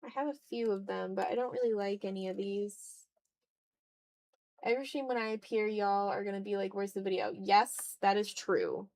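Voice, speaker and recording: Casual, animated young woman streamer. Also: medium pitch, normal speed. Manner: animated, slangy, self-deprecating. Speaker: art livestreamer. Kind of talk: casual livestream chat while drawing.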